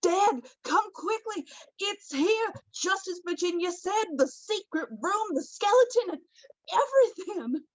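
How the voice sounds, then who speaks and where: A woman playing the excitable brother of the heroine in a radio play. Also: very high voice, a little slow. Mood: urgent, excited. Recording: roomy, slightly dull recording.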